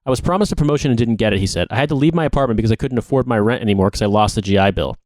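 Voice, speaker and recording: Guy on a podcast reading an article out, pretty fast, dry sound no room. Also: mid-range voice, a little mellow, no room sound. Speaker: podcast co-host. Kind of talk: reading an article aloud with brief reactions.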